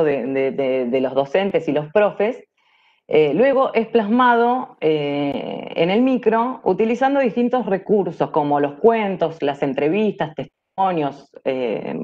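A woman with an Argentine accent giving a prepared presentation over a video call. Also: sits low. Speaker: workshop presenter. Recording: dull lo-fi video-call audio.

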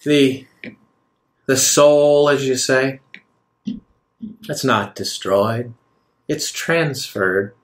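A man voicing a self-justifying, measured villain in his nineties, a wealthy recluse, in a tabletop game. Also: medium pitch. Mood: persuasive, calm.

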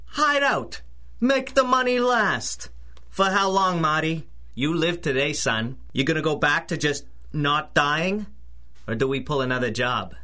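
A man's voice: fairly high pitch, measured pace, sharp tone. An actor playing a cocky, jumpy small-time robber in a 1930s-style radio drama, talking in tough-guy slang. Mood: swaggering, bitter.

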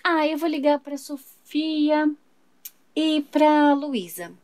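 A woman's voice: high-pitched, slow pace, a little mellow, no room sound. A woman narrating a doll's day in a sweet, chatty way, talking to viewers as she plays. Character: chatty young woman doll, fashion-loving. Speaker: toy channel narrator voicing a doll. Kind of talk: doll play narration.

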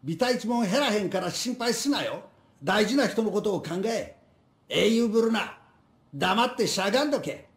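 A stern male robber from a video game, barking blunt orders in a Kansai accent. He is quick, raised in pitch and swoops around, with echo on the voice. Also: expressive. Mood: stern, commanding. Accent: Kansai Japanese. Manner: blunt, commanding. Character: stern, gruff male Japanese bank robber. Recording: echoing room sound.

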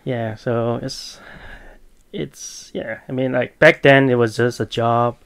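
Man, 30s, earnest, halting podcast guest, medium pitch. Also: steady tone, vocal fry, smooth. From Malaysia. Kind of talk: casual interview.

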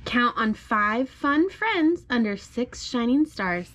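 Higher-voiced mother reading to her small child. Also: animated, slow, a bit bright. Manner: simple short questions and prompts for a child. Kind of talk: read-aloud and chat with a small child. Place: home bedroom, indoors.

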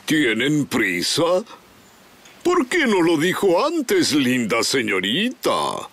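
A woman voicing a friendly, gallant male camel in a children's cartoon, chuckling and speaking slowly. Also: low voice, wildly swooping pitch, a bit bright, slight background noise, heavily acted. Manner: gallant, chuckling, slow and drawn-out. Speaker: voice actor. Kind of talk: Spanish-dubbed children's cartoon. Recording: music behind.

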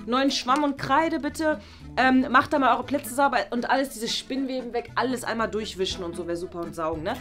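A woman's voice: a little high, fairly quick, fairly bright tone, soft background music, lightly acted. A woman voicing a scatterbrained, flustered female teacher who stumbles over her words in a toy play series. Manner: hesitant, full of "äh" and "ne?". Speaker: teacher figure Frau Bartsch.

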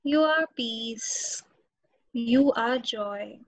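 A woman with an Indian accent leads a guided meditation as a teacher, giving slow instructions with long pauses between them. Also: on the high side, no room sound. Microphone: video call. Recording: dull, muffled webinar audio.

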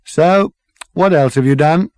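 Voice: mid-range voice, measured pace, darkish tone, no room sound, pitched above the performer's own voice, natural voice. A narrator playing an exasperated, sarcastic man in a comic science-fiction audiobook, speaking with incredulous emphasis.

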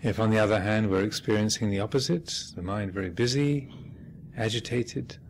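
A man speaking as a meditation teacher, giving measured guided instruction with thoughtful pauses and gentle phrasing. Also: fairly low pitch, a little hiss or hum. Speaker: Buddhist monk and meditation teacher leading a retreat. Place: meditation hall at a retreat center.

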